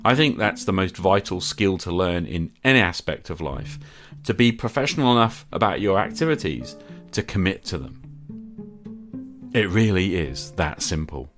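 A man's voice: sits low, expressive, measured pace, a bit bright, soft background music. A middle-aged man hosting a podcast, delivering an earnest scripted monologue in short, emphatic phrases. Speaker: podcast host.

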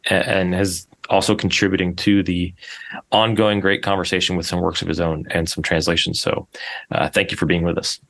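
A man's voice: sits low, flat delivery, brisk, gravelly, fairly bright tone. A man hosting a podcast interview, introducing his guest with many hesitations and fillers. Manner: hesitant, filler-heavy speech. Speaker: podcast host.

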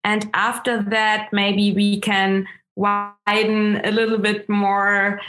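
A woman with a German accent, whose first language is German, speaking as an astrologer guest in an interview, pausing often and restarting her sentences. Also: medium pitch, monotone, a bit bright. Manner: hesitant, many ums and restarts. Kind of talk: interview conversation.

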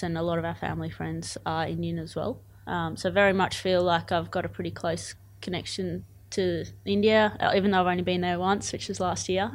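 A woman's voice: fairly low pitch, slight background noise. A woman in her twenties with an Australian accent, chatting casually and enthusiastically as an athlete being interviewed. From born in Zimbabwe, moved to Australia aged eight. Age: 20s. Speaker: cricket player.

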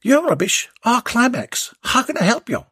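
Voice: mid-range voice, big pitch swings, normal speed, fairly bright tone, breathy edge, no room sound, clean studio sound, voice pushed up. A storyteller voicing a jolly, cheeky male rubbish remover who banters and teases. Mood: chirpy. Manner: chirpy, teasing banter.

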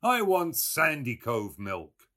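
A man's voice: on the high side, very animated, slow pace, sharp tone, pitched above the performer's own voice, heavily acted. A man voicing a brash, mocking young man with a mock-solemn, declamatory manner in a storybook reading. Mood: irritated. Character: brash, mocking, theatrical young Irish man, boisterous joker.

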